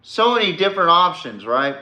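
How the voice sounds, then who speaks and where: Male sports podcast host, forceful and slightly high voice, blunt and profane ranting, roomy echo. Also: sing-song, a little fast. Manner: emphatic, addresses his subject directly. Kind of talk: opinionated sports rant. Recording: echoey, reverberant room.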